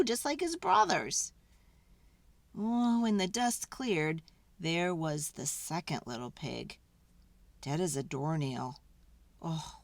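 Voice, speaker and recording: A woman's voice: on the low side, fairly bright tone, no room sound, pitched below the performer's own voice, full character voice. A woman voicing a folksy, mock-sincere wolf who pleads his innocence, in a conversational children's read-aloud style. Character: folksy, innocent-acting wolf telling his side, self-justifying.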